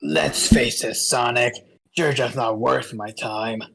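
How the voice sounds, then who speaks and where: Casting: sneering mad-scientist villain, extremely gravelly and husky, drawn-out taunts over music. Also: male voice, a bit bright, full character voice. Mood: sneering, gloating. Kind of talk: amateur comedic fanfiction dramatic reading. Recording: old-timey low-quality mic, music.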